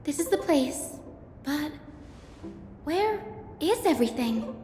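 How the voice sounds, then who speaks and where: A woman voicing a polite, thoughtful young female scholar in a video game, speaking in a measured, articulate, gently expressive way. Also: high voice, noisy background. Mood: worried, puzzled. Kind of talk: scripted video game voice acting, storytelling dialogue. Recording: echoey reverberant voice over game music.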